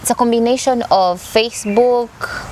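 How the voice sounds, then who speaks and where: A woman in her thirties with a Kenyan accent, a guest in a casual chat interview, speaking in a relaxed, matter-of-fact way and mixing Swahili with English. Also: on the high side, moderate pace, noisy background. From Nakuru, Kenya. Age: 30s. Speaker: guest musician.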